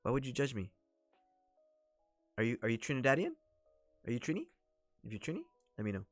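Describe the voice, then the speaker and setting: A man's voice: mid-range voice, big pitch swings, brisk, no room sound, soft background music. A man hosting a casual livestream, talking in a rambling, chatty way with many fillers. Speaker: livestream host and scam baiter.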